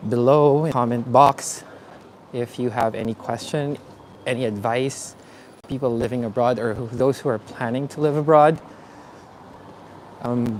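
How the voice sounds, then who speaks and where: Slow, chatty man walking city streets. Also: mid-range voice. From Philippines. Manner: relaxed, chatty, many fillers. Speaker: vlogger. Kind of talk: live-streamed walking tour. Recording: faint street noise outdoors. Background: street traffic and ambience.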